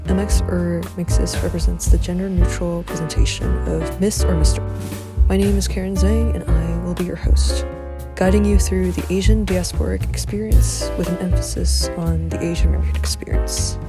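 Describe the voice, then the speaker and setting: A woman's voice: on the low side, steady tone, normal speed. A woman hosting a podcast in an easygoing way, asking guests open questions. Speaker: podcast host. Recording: music playing behind.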